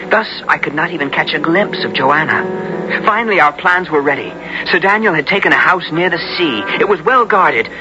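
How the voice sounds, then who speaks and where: A man's voice: fairly high pitch, close to an everyday voice. An actor playing a brave, earnest young English nobleman in a 1970s radio drama, with formal old-fashioned diction. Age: young adult. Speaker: lead actor and first-person narrator. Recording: noisy dull old radio broadcast recording.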